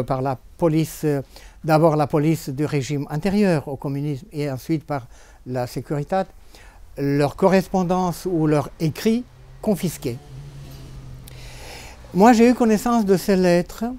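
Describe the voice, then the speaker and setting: A man's voice: fairly high pitch, warm tone, dry recording. A man who works as a translator, speaking hesitantly with many repeated words at a bookshop panel. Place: bookshop event.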